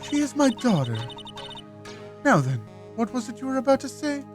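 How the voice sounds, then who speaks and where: A prim, haughty middle-aged matron from a video game, played by a woman. She speaks with stern, stately formality and archaic courtesy, her pitch rising and falling with each pronouncement. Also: very animated, moderate pace, over music.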